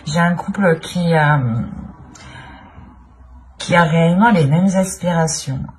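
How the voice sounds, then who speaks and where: Woman, lowish voice, improvised tarot reading, searching delivery with repeated phrases, faint background noise. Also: takes it slowly. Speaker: tarot reader, channel host.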